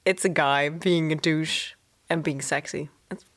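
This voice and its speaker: A woman's voice: low-pitched, fairly even intonation, measured pace. A woman co-hosting a casual book podcast, speaking in a reassuring, matter-of-fact way. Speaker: podcast co-host. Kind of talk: casual chat.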